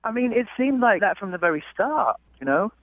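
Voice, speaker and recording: A man's voice: sits high, very animated, fairly quick, no echo. A man with an English accent chatting casually as a radio caller, a bit hesitant and repeating himself. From England. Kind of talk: casual phone chat. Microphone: telephone. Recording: thin narrowband phone line, dry.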